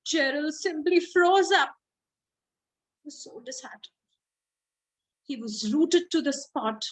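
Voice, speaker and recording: A woman with an Indian accent who speaks deliberately, as a children's storyteller addressing young listeners expressively. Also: high-pitched, expressive, rough recording. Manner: slow, deliberate, child-directed delivery with drawn-out words. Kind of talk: expressive read-aloud for children.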